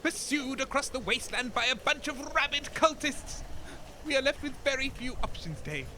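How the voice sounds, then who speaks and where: A man's voice: very high voice, lively intonation, normal speed, pushed and bright, slight background noise, full character voice. A man playing a grumbling, scheming, pompous radio DJ with a British accent in a comedy audio drama, theatrical and prone to stammering. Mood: gloomy, pompous. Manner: theatrical, stammering when evasive, grandiose.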